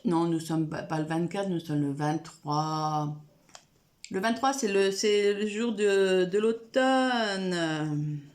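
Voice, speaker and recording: A woman's voice: on the low side, slow pace, a little mellow. A woman chatting casually to camera from a room at home, rambling with many fillers and restarts, with a cold. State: has a cold. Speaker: coloring vlogger.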